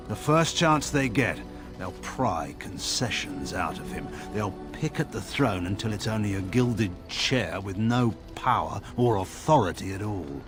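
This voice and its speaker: Fantasy game general: middle-aged, proud, weary, dryly sardonic, formal delivery with wide melodic swings, over clear background music. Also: male voice, fairly low pitch. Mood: scornful. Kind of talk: dramatic fantasy game dialogue.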